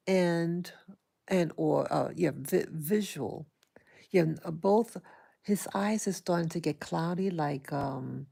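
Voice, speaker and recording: A soft-spoken woman hosting an early morning livestream, talking hesitantly with many pauses and fillers and greeting her viewers. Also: on the low side, creaky. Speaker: livestream host. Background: small dog occasionally heard.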